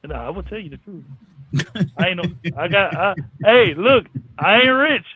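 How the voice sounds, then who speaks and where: A man's voice: high-pitched, lively intonation, normal speed, crowd noise. An American man chatting casually in a folksy way, repeating his opening words, heard over a tinny phone-like line. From United States. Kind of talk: casual chat.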